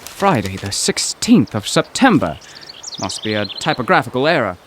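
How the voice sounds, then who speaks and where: An actor playing a timid, pedantic poetry professor in an old-time radio comedy drama, prissy and given to flowery wording. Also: male voice, mid-range voice, big pitch swings, normal speed, a bit bright, slight background noise. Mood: bewildered.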